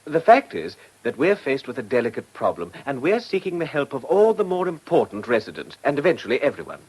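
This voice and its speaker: An actor playing an earnest, polite but exasperated village campaigner in a radio drama, well-spoken with an English accent. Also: male voice, mid-range voice, wildly swooping pitch, fairly quick, a little mellow, slightly rough, poor audio. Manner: well-spoken, courteous, indignant. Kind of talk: British radio drama acting.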